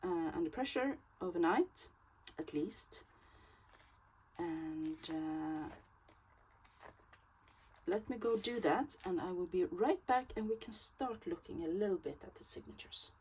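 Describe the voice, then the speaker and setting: A woman with a Swedish accent talks through a craft project at a slow, unhurried pace. Her voice sits fairly low, and she pauses often with fillers. A faint hiss runs under the recording. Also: animated, a little mellow, low-quality recording. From Sweden. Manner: slow, chatty, hesitant with fillers. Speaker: crafting channel host. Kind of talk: casual craft tutorial.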